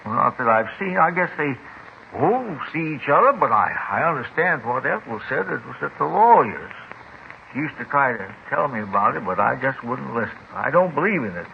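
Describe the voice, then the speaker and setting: An actor playing a boozy, evasive neighbor in a 1950s radio drama, folksy and repetitive, with halting, ungrammatical speech. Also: male voice, medium pitch, big pitch swings, gravelly. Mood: agitated, rambling. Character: boozy, rambling, evasive male neighbor who likes a drink. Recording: muffled, noisy old 1950s radio recording.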